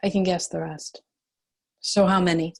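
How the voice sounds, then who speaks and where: A woman playing a determined, defiant and compassionate young heroine in a screenplay table read, with a slightly husky voice. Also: on the low side, little pitch movement, moderate pace. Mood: concerned, brisk.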